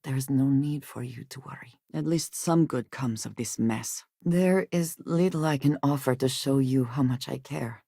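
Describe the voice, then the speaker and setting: An actress playing a guarded, earnest and devout woman spacefarer in a video game, speaking in measured, formal phrases. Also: very deep voice, creaky, warm tone, slightly rough, no room sound, studio quality, exaggerated voice. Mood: earnest, soft. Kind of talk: video game character dialogue.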